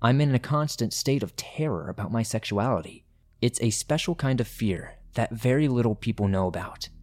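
A man reading first-person horror stories as a narrator, in a measured, deliberate storytelling manner. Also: medium pitch, lively intonation, darkish tone. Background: background music.

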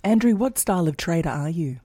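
A woman's voice: lowish voice, lively intonation, a little fast, mellow, slightly rough, dry recording, clean studio sound. A woman hosting a talk podcast, asking direct questions to prompt her guest. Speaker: podcast host. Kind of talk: interviewing a guest.

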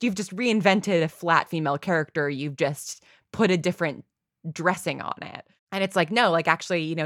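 A woman's voice: low-pitched, fairly bright tone, no echo, studio quality. A woman in a casual, opinionated discussion, speaking emphatically and informally with plenty of "like". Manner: emphatic, informal, peppers speech with "like". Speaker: podcast guest, audio drama writer.

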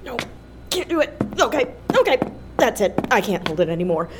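A woman's voice: fairly high pitch, very animated, brisk, background noise. An actress playing a desperate, impatient, bossy woman in a comic audio drama, curt and exasperated. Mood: desperate, strained.

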